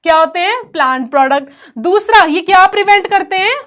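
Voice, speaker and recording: A woman's voice: very high voice, expressive, a little fast, fairly bright tone. A woman with an Indian accent teaching an informal live online class, playful and teasing with students and switching between two languages. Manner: mixes Hindi and English.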